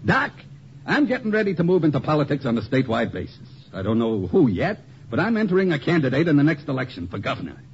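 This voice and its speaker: An actor with an American accent playing a smug, crude machine politician who taunts in slang, in a 1950s radio drama. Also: male voice, fairly high pitch, sing-song, fast. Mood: smug, gloating. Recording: muffled, noisy old 1950 radio recording.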